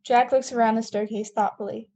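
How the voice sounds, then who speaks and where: Female narrator for stage directions, mellow tone, slightly high voice, scripted read. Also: steady tone, fairly quick.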